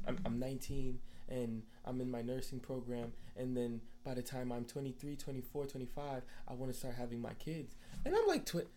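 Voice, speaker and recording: A man's voice: medium pitch, warm tone. A man in his twenties sharing opinions casually in a group discussion, with background noise in the recording. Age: 20s. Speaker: discussion panelist.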